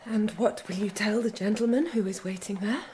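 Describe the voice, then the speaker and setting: An actress playing a guarded, resolute Victorian gentlewoman in an audio drama, formal, restrained and direct. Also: medium pitch, a little fast, a little hiss or hum, natural voice. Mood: alarmed.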